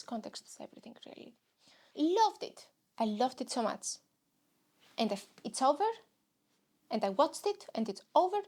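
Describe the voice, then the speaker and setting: A young woman with a Greek accent hosts her podcast in a loose, rambling comic monologue. Her voice sits fairly high, and she mocks herself as she talks. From Greece. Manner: rambling, self-mocking, jumps into silly voices. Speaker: podcast host.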